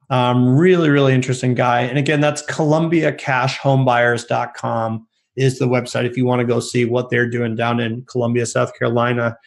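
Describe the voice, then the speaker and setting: Upbeat hoarse male podcast host. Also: mid-range voice. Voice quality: raspy. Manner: upbeat, chatty, many fillers. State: hoarse, recovering from illness. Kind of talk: casual interview and outro.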